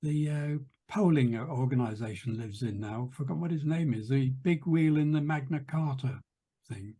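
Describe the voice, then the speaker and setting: A man's voice: medium pitch, normal speed, mellow, breathy edge. A man born in Chester who speaks as a guest in a casual panel discussion, rambling and groping for names. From Chester, England. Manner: rambling, loses his words.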